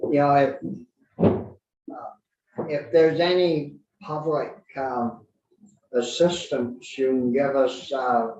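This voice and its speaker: A man's voice: medium pitch, flat delivery, takes it slowly, pauses often, a little mellow. A man speaking hesitantly with many fillers, testifying before a committee. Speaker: advocate asking for public support. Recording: distant, echoey, muffled room audio.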